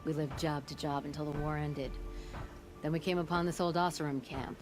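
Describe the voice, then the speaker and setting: Woman playing a brash, low-voiced forge boss. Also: fairly even intonation, brisk, lightly acted. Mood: boastful, amused. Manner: blunt, wry, folksy boasting. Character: brash, proud older forge boss woman, gruffly humorous. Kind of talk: video game character acting. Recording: music under the voice.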